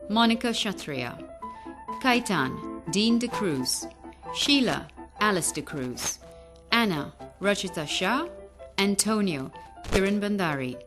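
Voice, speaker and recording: A woman announcer reading out the scripted credits of a radio play. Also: mid-range voice. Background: music behind the voice.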